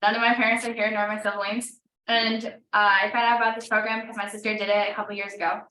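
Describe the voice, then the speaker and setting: A teenage girl from California introducing herself as a student, brisk and assured. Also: mid-range voice, little pitch movement, pushed and bright. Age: teens. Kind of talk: self-introduction. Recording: echoey room, distant mic.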